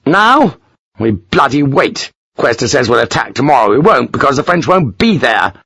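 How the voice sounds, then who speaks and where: A man's voice: high voice, steady tone, fast, a bit bright, dry recording, studio quality, pitched above the performer's own voice. A man voicing a furious aristocratic British officer who rants and curses in a raised, strained voice. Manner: ranting, explosive, cursing.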